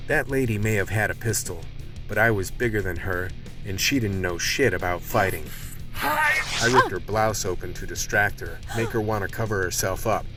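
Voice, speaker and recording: An actor playing a weary, blunt, hard-boiled cop narrating a gritty ordeal in a scripted radio play. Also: male voice, on the low side, lightly acted. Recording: loud music under the voice.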